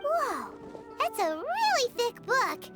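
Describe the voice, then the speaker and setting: A woman voicing a small, inquisitive, childlike fairy companion in a video game, blurting out excitable questions. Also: extremely high pitch, slow, loud music behind the voice, heavily acted. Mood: curious, startled. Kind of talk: video game character acting.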